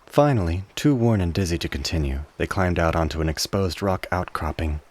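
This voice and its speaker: A man narrating a scripted drama in a measured storytelling manner. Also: low-pitched, expressive.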